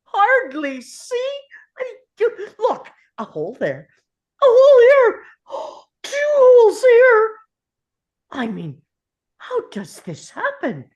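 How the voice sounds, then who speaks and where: A woman's voice: very high voice, huge pitch swings, slow pace, full character voice. A woman at a script table read playing an indignant, anxious husband and aspiring comedian, exasperated and incredulous. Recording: dull, lo-fi sound.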